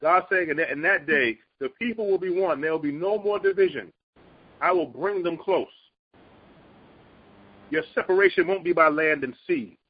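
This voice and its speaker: A man's voice: on the high side, moderate pace, long pauses, husky, lo-fi sound. A man explaining a Bible passage in an earnest, conversational teaching style, with rising energy when he drives a point home.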